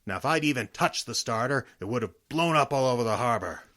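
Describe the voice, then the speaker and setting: A man acting in a radio drama as a wealthy, suspicious father of sixty-eight, plain-spoken and given to halting hesitations. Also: medium pitch, animated, a bit bright, close to an everyday voice. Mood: uneasy. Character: wealthy 68-year-old father, suspicious of his parasitic sons.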